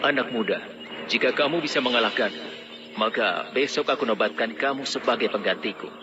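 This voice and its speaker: A man's voice: mid-range voice, brisk, close to an everyday voice. A man voicing male fairy tale figures of a royal court, formal and declarative. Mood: formal, regal. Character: fairy tale royal ruler and hopeful horseman. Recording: dull lo-fi audio, music bed.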